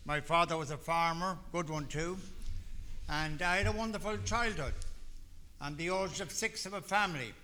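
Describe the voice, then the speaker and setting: Bright-voiced older Irish man telling his story. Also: a little high, normal speed, slight background noise. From Ireland. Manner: folksy storytelling with asides. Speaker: recovery meeting speaker. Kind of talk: personal testimony talk.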